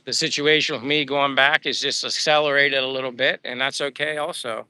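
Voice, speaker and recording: A man's voice: medium pitch, fairly even intonation, brisk, sharp tone, clear, resonant tone, dry recording. A man with a Pennsylvania accent hosting a casual livestream, rambling upbeat and thanking viewers as he chats. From United States. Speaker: livestream host. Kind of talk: casual livestream chat reading viewer comments. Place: living abroad.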